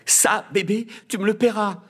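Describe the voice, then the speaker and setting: A man's voice: high voice, steady tone, moderate pace, a bit bright, slightly rough, pitched above the performer's own voice. A man voicing a lovestruck, defiant teenage girl flower in a storybook reading, indignant and pleading. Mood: threatening.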